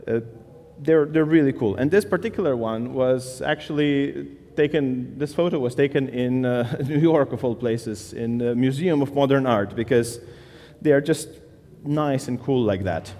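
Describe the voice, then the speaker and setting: A man's voice: mid-range voice, moderate pace, dark tone. Man speaking in a reverberant hall. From Slovenia. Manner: casual, loose, with many fillers and restarts. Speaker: conference speaker. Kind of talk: conference talk.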